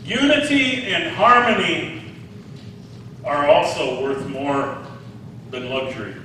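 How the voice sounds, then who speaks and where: A man's voice: on the high side, slow pace, sharp tone, a little hiss or hum. A man in his sixties preaching a sermon in a conversational way, asking his listeners questions. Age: 60s. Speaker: pastor. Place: large echoey hall. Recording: echoey hall, distant mic.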